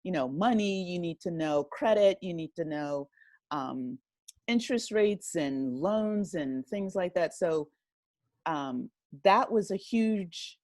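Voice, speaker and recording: Woman, lower-pitched, thoughtful podcast guest. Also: darkish tone, no echo. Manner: thoughtful, halting, explains with lists and examples. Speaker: podcast guest, writer and director. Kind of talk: casual conversational interview.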